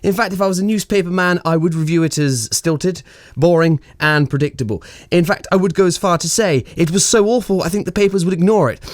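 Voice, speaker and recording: Male actor as exuberant 1920s British chief radio engineer: bantering, theatrical, lighter-pitched, dry studio sound, period radio drama. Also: brisk, no echo, close to an everyday voice. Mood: sarcastic, scornful. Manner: theatrical, bantering, old-chap turns of phrase. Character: ebullient, irreverent 1920s English radio engineer in charge. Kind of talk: period radio play acting.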